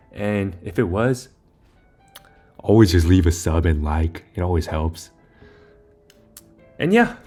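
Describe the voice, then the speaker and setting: A man's voice: fairly low pitch, darkish tone. A young man giving a casual, informal game walkthrough, rambling a little as he talks through each step while playing. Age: young adult. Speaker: video maker giving game guide.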